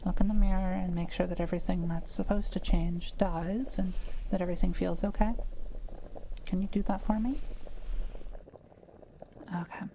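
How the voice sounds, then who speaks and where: A woman playing a kind, soft-spoken witch who loves solitude, speaking gently and reassuringly to the listener in an intimate roleplay audio drama. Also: on the low side, steady tone, normal speed, gravelly, pauses often, slight background noise, rough recording. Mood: reassuring, instructive. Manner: gentle, caring, softly reassuring.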